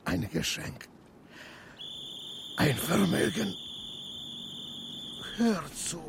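An actor playing a stabbed, dying man who gasps out urgent, secretive words in halting fragments for a radio drama. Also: sits high, huge pitch swings, creaky, background noise, full character voice. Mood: urgent, failing. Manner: halting, broken whispers and gasps. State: gasping, failing breath.

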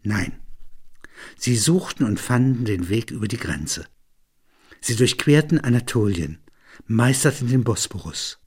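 A man with a husky voice of medium pitch reads literary prose. His delivery is measured and reflective, as if thinking the material through. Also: lively intonation, a little mellow. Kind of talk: literary narration with reflective talk.

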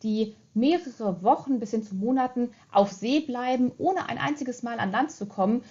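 Researcher guest, woman, raised pitch, explaining factually on a somewhat muffled recording. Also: moderate pace, warm tone. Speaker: seal researcher, expert guest. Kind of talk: clear explanatory interview answers.

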